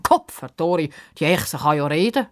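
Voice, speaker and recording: A woman's voice: low voice, sing-song, normal speed. Woman acting out a blustering grumpy old man. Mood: flabbergasted. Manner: blustering, scolding, muttering. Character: grumpy, easily startled old man, cursing and grumbling.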